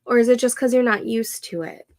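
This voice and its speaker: A woman in her thirties hosting a casual co-working stream, chatting slowly and a little nasally as she works through her planner. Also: a little high, a little mellow, no echo, clean studio sound. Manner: slow, chatty, talks to herself while setting up a planner. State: congested, a bit nasal. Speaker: co-working livestream host. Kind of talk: casual chat while working.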